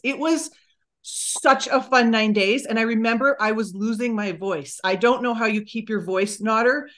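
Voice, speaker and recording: An excited woman in her forties gives a breathless motivational story from a room at home over a webcam. Her voice sits a little high. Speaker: guest speaker, doctor. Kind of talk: motivational talk on a video call. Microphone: webcam on a video call.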